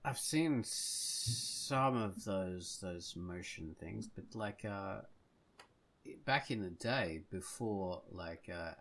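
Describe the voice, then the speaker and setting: Casting: male podcast co-host, low gravelly register, informal and enthusiastic, relaxed conversational delivery. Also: very slow. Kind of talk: casual podcast chat.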